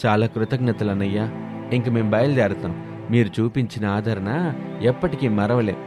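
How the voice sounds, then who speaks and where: A man's voice: on the low side, moderate pace, music in the background, close to an everyday voice. A man voicing a scheming guest in a cartoon moral story, polite and ingratiating as he feigns kinship.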